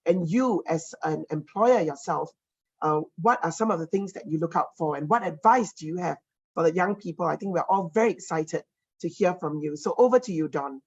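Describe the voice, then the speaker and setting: A woman's voice: on the low side, moderate pace, husky, muffled recording. A woman moderating a conference panel, asking polite, formal questions with some fillers.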